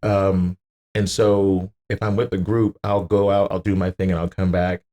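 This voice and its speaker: A man's voice: deep voice, level tone, normal speed, dark tone. A man in his thirties, a podcast guest telling casual anecdotes with slang, swearing and acted-out quotes. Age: 30s.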